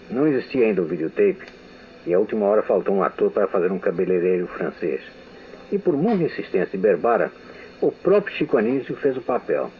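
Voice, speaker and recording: Older man, tinny archival recording. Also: low voice. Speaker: radio writer giving a testimony. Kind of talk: memoir reading. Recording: tinny lo-fi archival audio with hiss.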